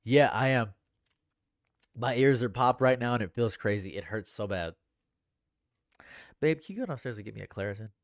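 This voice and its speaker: A young man with a stuffed-up nose, reading chat comments on a livestream in a dry, deadpan way. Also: medium pitch, normal speed, pauses often, no echo. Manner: dry and deadpan, laughs at own jokes. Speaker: livestream host. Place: home room.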